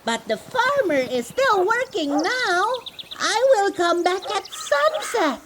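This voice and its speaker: A man voicing a small, clever male mouse deer, a cheeky trickster with a sly, squeaky, giggly way of talking. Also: sing-song, takes it slowly, heavy background noise, exaggerated voice. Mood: scheming. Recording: voice over loud music.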